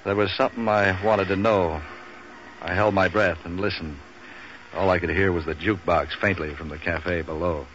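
An American actor playing a street-wise, wisecracking waterfront man for hire in 1940s radio noir, with a clipped, sardonic, deadpan delivery. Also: low voice, normal speed. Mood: tense, deadpan. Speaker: lead character and narrator. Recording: dull, hissy 1940s radio recording.

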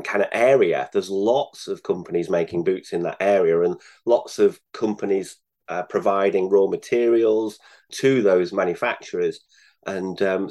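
A man with a fairly low voice speaks as an interview guest. He explains things in a casual, measured way, pausing and hesitating between phrases. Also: a little mellow. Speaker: guest, sales director.

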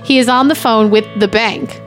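High-voiced woman at a table read. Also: steady tone, normal speed, music in the background. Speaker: podcast guest reading stage directions. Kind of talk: casual banter and script read-through.

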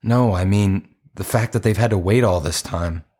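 A man's voice: low voice, moderate pace, a little mellow, slightly rough. An actor playing an earnest, apologetic romantic man in an audio play, with a hesitant, conversational delivery. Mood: earnest, hopeful.

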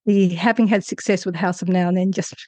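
A woman's voice: lowish voice, fairly even intonation, brisk, darkish tone, no echo. A woman answering interview questions as an author, conversational, with thoughtful and hesitant phrasing. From Australia.

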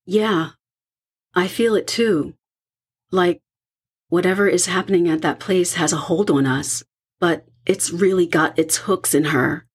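A woman acting a worried, hesitant young woman in an audio horror drama, with a subdued, husky and breathy delivery. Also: lowish voice, measured pace. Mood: uneasy.

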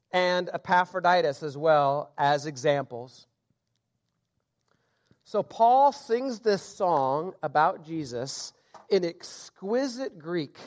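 A man with a somewhat high-pitched voice preaches a sermon, moving between reading a biblical passage aloud and explaining it. His tone is explanatory and relaxed, with occasional self-deprecating asides.

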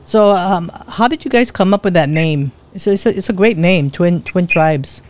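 Guy hosting a radio interview, kinda high voice, stumbles and repeats himself a lot. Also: moderate pace. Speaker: radio show host. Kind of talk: casual interview.

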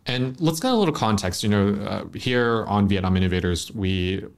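Low-voiced male interview host. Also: lively intonation, normal speed, gravelly. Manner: easygoing, frequent "uh" fillers while framing questions. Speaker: podcast host. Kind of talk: conversational interview hosting. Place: studio.

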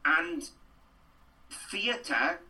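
A man's voice: sits high, very animated, drawn-out words, pushed and bright, recorded from a distance, low-quality recording. A middle-aged Welsh man from Wales, an actor chatting animatedly in an interview and rambling through stories with lots of restarts. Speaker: actor and guest.

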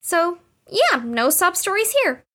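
A woman's voice: extremely high pitch, sing-song, takes it slowly, pushed and bright, heavily acted. A woman playing an earnest, nosy young woman, a little defensive, in an audio drama. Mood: defensive, hesitant.

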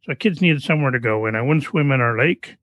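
A man's voice: mid-range voice, expressive, quick pace, fairly bright tone, no room sound, highs cut off. A man, hosting a podcast interview in a rambling, friendly way. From Canada. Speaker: podcast host. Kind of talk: casual interview chat.

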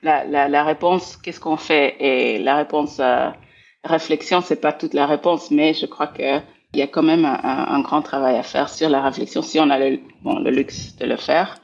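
Gravelly, husky woman in her forties. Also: low voice, fairly even intonation, normal speed, highs cut off. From Belgium. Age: 40s. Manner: thoughtful, repeats words while searching for phrasing. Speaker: guest, scholar of international relations. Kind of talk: reflective interview talk.

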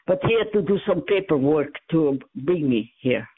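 A woman in her nineties, born in France and speaking, her Armenian, reminiscing haltingly and often searching for words. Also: low-pitched, lively intonation, husky. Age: 90s. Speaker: interviewee. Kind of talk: reminiscing in casual conversation. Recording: tinny phone-like line, dry.

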